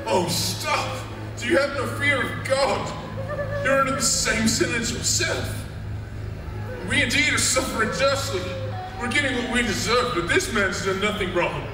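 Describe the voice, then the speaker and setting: Guy acting crucifixion scene character, high voice, drawn out n dramatic, echoey, loud music. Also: measured pace. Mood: pleading, rebuking. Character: mocking bystanders and condemned men at a crucifixion. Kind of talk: church Easter audio drama. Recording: distant echoey hall under loud music.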